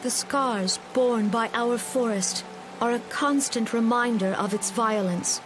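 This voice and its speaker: An actress playing a solemn female forest leader giving a measured, formal ceremonial speech in a fantasy game. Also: a little high, background noise, close to an everyday voice. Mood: grave, mournful. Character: gentle, solemn female forest elder leader addressing her people. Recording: music and rain behind the voice.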